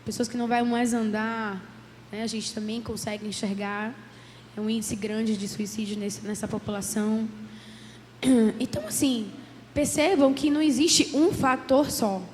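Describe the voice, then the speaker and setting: Hoarse female psychologist, fairly high voice, earnest lecture in a reverberant hall with faint noise. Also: fairly even intonation, normal speed, a little mellow. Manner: earnest, many "né?" tags. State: hoarse from a cold. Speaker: psychologist giving a church talk. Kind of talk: church lecture. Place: church hall.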